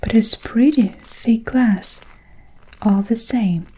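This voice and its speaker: A woman voicing an eccentric, cheerful male candy maker in a breathy whisper while reading a storybook aloud. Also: on the high side, takes it slowly, dark tone, poor audio. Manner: whispered, gleeful.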